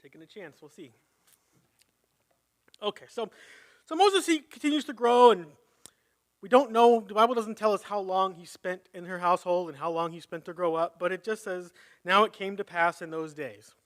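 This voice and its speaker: A man's voice: high-pitched, normal speed, fairly bright tone. A man preaching a conversational sermon at a live church service, reading scripture and thinking aloud as he corrects himself.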